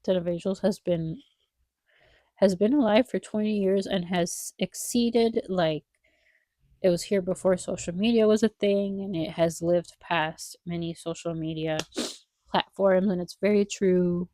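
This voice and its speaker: Congested, low-voiced young woman chatting. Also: steady tone, measured pace, dark tone, no room sound. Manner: rambling, wistful, heavy on fillers. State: congested nose. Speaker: vlogger. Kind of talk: casual chat while drawing.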